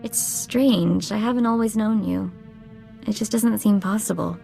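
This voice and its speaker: A woman's voice: mid-range voice, measured pace, vocal fry, mellow, soft background music. An actress playing a sassy young female hacker sidekick in a video game, with a wry, casual delivery. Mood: playful, affectionate.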